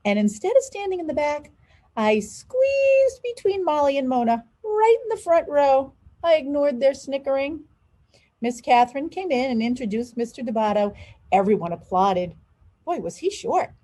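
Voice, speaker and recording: A woman reading a children's storybook aloud in an expressive, dramatized storytelling manner. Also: sits high, moderate pace. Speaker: school leader reading aloud.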